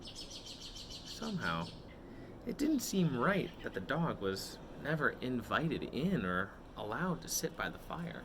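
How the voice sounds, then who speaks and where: Male children's read-aloud host. Expressive storybook delivery, very varied pitch, leisurely tempo. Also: slow, noisy background. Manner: greets and addresses young listeners directly.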